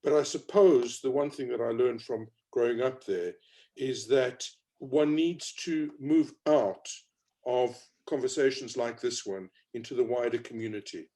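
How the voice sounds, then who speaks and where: Mellow-voiced South African man. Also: mid-range voice, a little slow. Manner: reflective, hesitant with many fillers. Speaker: panel speaker. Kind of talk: panel discussion.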